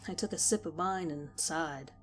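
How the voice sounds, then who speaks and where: A woman's voice: deep voice, moderate pace. A woman reading a scripted first-person horror story as narrator. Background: music.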